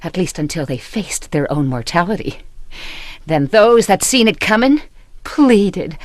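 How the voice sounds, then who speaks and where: A woman's voice: lowish voice, big pitch swings, measured pace, no echo. A woman playing a murderous, bitterly vengeful mother with genteel, mock-polite manners in an old-time radio drama. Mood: cold contempt. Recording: noisy vintage radio broadcast.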